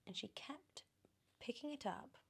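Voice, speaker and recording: A woman with a British accent reading a children's story in ASMR style, animated and often slipping into whispers. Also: sits high, unhurried, vocal fry, husky.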